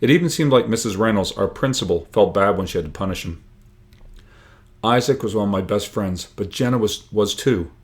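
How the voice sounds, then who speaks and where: A man reading his own book aloud in an expressive storytelling style, lifting the dialogue with animated emphasis. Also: on the low side, fairly quick.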